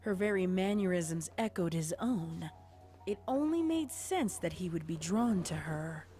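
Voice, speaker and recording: A woman reading scripted story narration in a measured, dramatic way. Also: fairly low pitch, lively intonation, mellow, soft background music. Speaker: fan fiction narrator.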